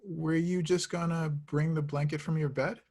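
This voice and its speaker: A man reading the part of an awkward, eager, insecure young man in love, halting and sheepish, in a screenplay table read. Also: fairly high pitch, flat delivery, a little mellow, slightly rough. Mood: nervous.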